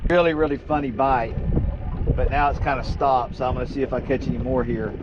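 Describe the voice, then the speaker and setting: Older man, muffled by loud outdoor wind. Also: medium pitch, fairly even intonation, fairly quick. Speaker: fishing vlogger. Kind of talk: casual fishing vlog commentary. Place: on a small open boat on open water. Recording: windy outdoor noise, muffled action-camera sound. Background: loud wind and water noise.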